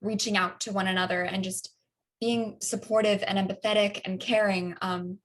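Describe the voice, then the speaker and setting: A woman playing a caring figure with halting, hesitant phrasing in a conversational documentary theater monologue. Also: mid-range voice, level tone, a bit bright, natural voice.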